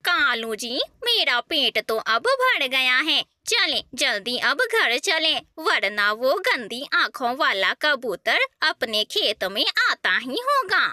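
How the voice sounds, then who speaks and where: Female cartoon bird, played by the woman narrator: high, animated, expressive fable dialogue. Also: slow pace, few pauses, dry recording, clean studio sound, heavily acted. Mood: urging. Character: female birds of a cartoon fable, crow wife and sparrow.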